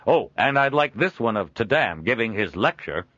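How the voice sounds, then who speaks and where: A booming, jolly middle-aged merchant, as a man puts him on, pitched up and loud with wide, bouncing swings in his voice. His talk is dry and dull in tone, close and unroomy. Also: big pitch swings, normal speed, no echo. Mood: jovial. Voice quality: hearty, booming, laughs often. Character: jovial middle-aged male traveling trader.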